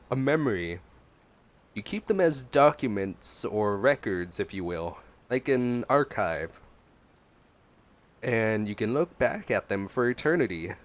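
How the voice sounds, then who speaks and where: A man giving casual, halting gameplay commentary as a streamer, musing aloud and reading out dialogue choices. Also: on the low side.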